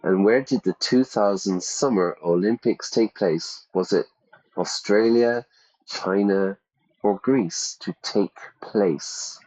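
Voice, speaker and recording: A man's voice: fairly low pitch, slow pace, darkish tone, rough recording. A man teaching English to learners, reading out quiz questions and repeating the answer options. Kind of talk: ESL quiz lesson.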